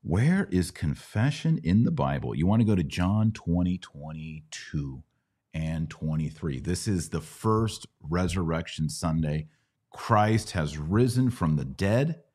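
Very deep-voiced American man teaching. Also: warm tone. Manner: didactic, checks in with "right?". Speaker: podcast host answering Bible questions. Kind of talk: casual explanatory religious teaching.

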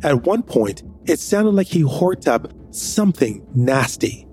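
A man's voice: fairly high pitch, sing-song, measured pace, a little mellow, soft background music. A man voicing a nervous first-person narrator. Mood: steady narration. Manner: anxious, hesitant in dialogue. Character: nervous young man hiding his sick brother. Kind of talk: audio drama narration.

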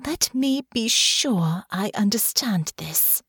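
A woman's voice: mid-range voice, animated, takes it slowly, fairly bright tone, slightly rough, no echo, clean studio sound, exaggerated voice. A woman voicing a proud, wounded young noblewoman in an audiobook, imperious and angry, tightly controlled or sharply snapping. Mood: controlled anger. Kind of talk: audiobook character acting.

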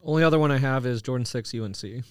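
A man's voice: medium pitch, racing, clean tone, no room sound, studio quality. A man co-hosting a podcast in casual group banter. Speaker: sneaker podcast co-host. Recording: busy chatter of other voices behind.

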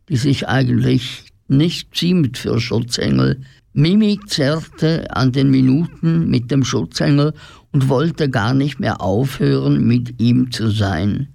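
A man's voice: medium pitch, gravelly, darkish tone, no echo, studio quality. A man narrating a scripted radio drama as its storyteller.

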